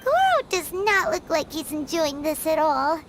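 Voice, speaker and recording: A woman voicing a tiny, childlike fairy girl in a video game, squeaky, chirpy and cheeky. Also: very animated, measured pace, noisy background. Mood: musing, impressed. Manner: squeaky, speaks of herself in third person.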